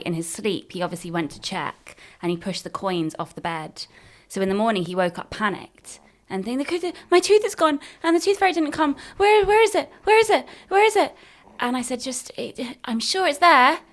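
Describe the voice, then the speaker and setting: A woman in her thirties chatting casually as a podcast co-host. Her voice sits at an ordinary middle pitch, and she slips into bright, excited voices when she quotes others. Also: brisk, hardly any pauses. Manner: storytelling, animated when quoting others. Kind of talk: casual chat.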